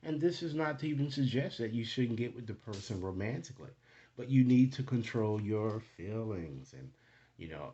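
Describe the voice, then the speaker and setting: A man's voice: on the low side, a little mellow, a little hiss or hum, low-quality recording. A man speaking casually as a tarot reader, improvising to the viewer and often checking in with short tag questions. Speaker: tarot card reader, host. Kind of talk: casual, improvised card reading addressed to the viewer.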